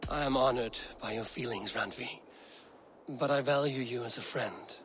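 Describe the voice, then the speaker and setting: A man voicing a calm, honorable Viking warrior in a video game, with measured, formal, earnest speech. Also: mid-range voice, a little hiss or hum, lightly acted. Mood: reassuring. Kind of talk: dramatic video game dialogue.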